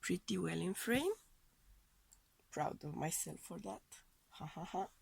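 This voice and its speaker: Slow, low-voiced woman with a cold. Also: lively intonation, vocal fry, breathy edge, no echo. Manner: tentative, searching phrasing as she reads cards. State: voice thrown off by a heavy cold. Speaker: tarot card reader. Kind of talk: weekly tarot love reading.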